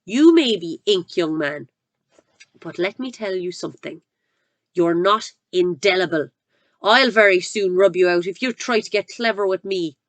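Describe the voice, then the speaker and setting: A woman voicing a huge, cruel headmistress who barks her words with loud scorn and contempt. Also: a little slow, no echo, natural voice. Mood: roaring. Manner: barking, booming and contemptuous.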